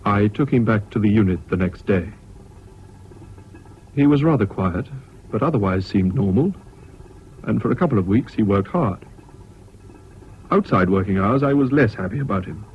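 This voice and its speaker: Measured military narrator male actor, lowish voice, plain factual telling, muffled old radio play. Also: expressive, natural voice. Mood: sober. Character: sober English army officer recounting events. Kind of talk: 1970s radio drama narration. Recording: dull, noisy old radio recording.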